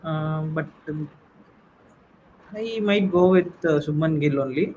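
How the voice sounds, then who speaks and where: Male panel host, Indian accent, raised pitch, conversational, slight noise in the room. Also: a little slow, darkish tone, muffled recording. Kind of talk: casual panel moderating.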